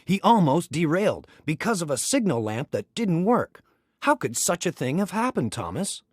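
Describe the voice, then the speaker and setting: Man as authoritative older storybook railway boss, formal, commanding, husky, expressive pitch. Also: normal speed, no room sound, studio quality. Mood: stern, scolding.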